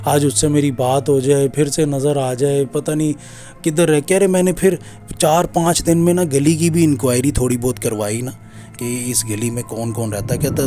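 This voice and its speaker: A man's voice: mid-range voice, warm tone. A man informally retelling a listener's scary story as a narrator, in a conversational way with repeated reporting phrases. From Pakistan. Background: music.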